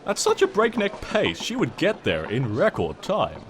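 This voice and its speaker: Theatrical, highly animated male storyteller. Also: moderate pace, noisy background. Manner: theatrical, smug, wry. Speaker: story narrator. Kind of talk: dramatic comic storybook narration.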